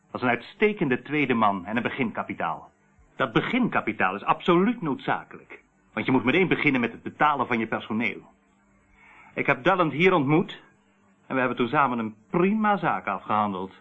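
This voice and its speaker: A smug, ruthless crime boss. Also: male voice, medium pitch, phone-quality sound. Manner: boastful, mocking, menacing. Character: smug, ruthless male crime syndicate boss, boastful and cold. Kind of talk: radio play acting.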